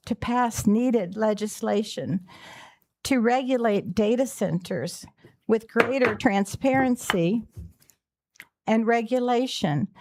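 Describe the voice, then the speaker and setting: A woman reading prepared questions in a deliberate, measured way as a public commenter at a county commission meeting. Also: fairly high pitch, mellow.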